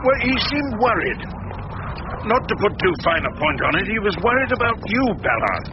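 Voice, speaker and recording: A sardonic older defence barrister in a radio play. His fairly high voice moves up and down a lot, with sly, dry courtesy. The sound is lo-fi and clearly noisy. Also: very animated, moderate pace. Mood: sly, persuasive. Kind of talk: radio drama dialogue. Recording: dull, noisy lo-fi radio recording.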